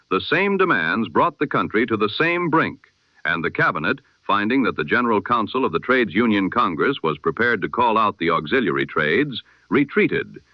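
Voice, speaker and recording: Man, formal old-time radio newsreader, low voice, lively intonation, dull lo-fi dry sound. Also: no echo. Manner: measured, formal announcer delivery. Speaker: news announcer.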